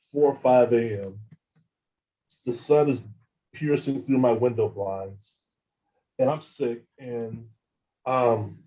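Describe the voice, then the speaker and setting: A man telling his own experiences as an interview guest, halting and often repeating words as he restarts sentences. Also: medium pitch, mellow, dull audio. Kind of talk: casual first-person account.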